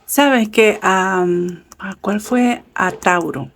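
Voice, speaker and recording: Woman, unhurried speech, friendly and chatty tarot reader, soft laughs between cards. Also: mid-range voice. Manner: chatty and affectionate, with frequent fillers and soft laughs. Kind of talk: casual tarot reading for viewers. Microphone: camera set up over a table with cards.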